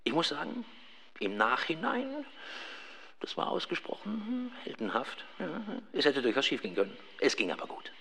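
A self-important ghost professor from a children's radio play. His husky, gravelly voice halts to savor each point and swoops wildly up and down. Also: on the high side, wildly swooping pitch, a bit bright, exaggerated voice. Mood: proudly reminiscing. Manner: halting, savoring his own account. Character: pompous, self-satisfied male professor of ghost research.

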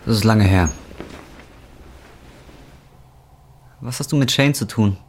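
A man's voice: lowish voice, fast talker, slightly rough. An actor playing a bitter, broken man in his twenties in a German radio drama, with blunt, brooding delivery. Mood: guarded. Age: 20s. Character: bitter, broken 25-year-old former roofer on drugs.

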